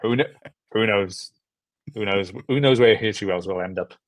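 A man in his thirties with a fairly low voice and a dry, close sound. He talks casually as a filmmaker being interviewed over a video call, and often doubles up words for emphasis. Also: moderate pace, dry recording. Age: 30s. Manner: repeats words and phrases for emphasis. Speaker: film director and producer, interview guest. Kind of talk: casual chat. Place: small furnished room at home, indoors. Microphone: video call with earbuds.

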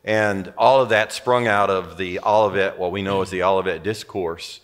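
An older man, a pastor, co-teaching a sermon in a relaxed, reflective, conversational way in a large church auditorium. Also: low-pitched, moderate pace. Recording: long hall reverb.

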